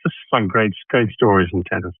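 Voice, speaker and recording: Guy from south africa telling tennis stories, low voice, thin phone-line sound, dry. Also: a little fast, slightly rough, no room sound. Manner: rambling storyteller with restarts. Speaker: podcast guest, tennis coach. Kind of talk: casual interview answers.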